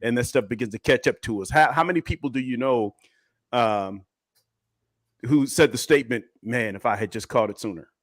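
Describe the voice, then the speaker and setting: A man's voice: mid-range voice, normal speed, dry recording, studio quality. A man on a discussion panel giving reflective, conversational advice, listing points with emphasis and checking in as he goes.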